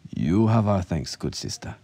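A man's voice: low voice, expressive, normal speed, gravelly, dark tone, full character voice. A man voicing a proud, stern military officer with a formal, imperious manner in an audiobook story. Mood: formal, commanding. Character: stern, proud male officer with a cane, commanding.

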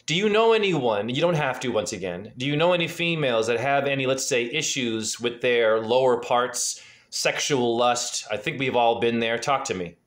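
A man's voice: medium pitch, animated, normal speed, few pauses, a bit bright. A man in his forties giving a freewheeling, earnest numerology reading over a video call from a dim room at home. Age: 40s. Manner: freewheeling, earnest, asks probing questions. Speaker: spiritual numerology reader. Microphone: webcam on a video call.

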